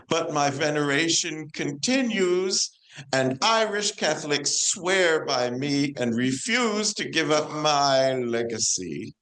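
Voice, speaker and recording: Woman acting a slow, stately female saint. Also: low voice, fairly bright tone, full character voice, poor audio. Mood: indignant. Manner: measured, declamatory storytelling. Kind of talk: amateur stage play performance.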